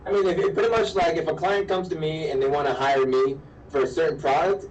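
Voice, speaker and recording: A man's voice: fairly high pitch, steady tone, fast, muffled recording. A man chatting informally as a guest over an internet video call, rambling and often repeating himself. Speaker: guest, animator and artist. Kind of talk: casual chat. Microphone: internet video call on a backup device. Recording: distant mic with faint noise.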